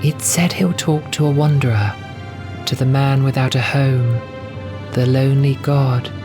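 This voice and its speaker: Guy doing a soft sad young cat nun lady, raised pitch, earnest audio drama storytelling, music behind. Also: steady tone, a little slow, natural voice. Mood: hushed, wondrous. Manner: soft, wistful, faintly bitter, earnest storytelling. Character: gentle, wistful young cat nun, soft-spoken female novice. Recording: music bed under voice.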